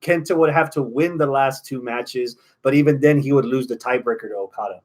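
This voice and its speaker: A man's voice: mid-range voice, brisk. A man with an American accent hosting a wrestling podcast, giving opinionated, profane analysis in a casual chat.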